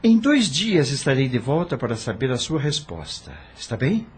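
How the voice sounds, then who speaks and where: Earnest, resolute widowed gentleman played by a man, audio drama, mid-pitched and animated, formal delivery. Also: normal speed, husky. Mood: composed.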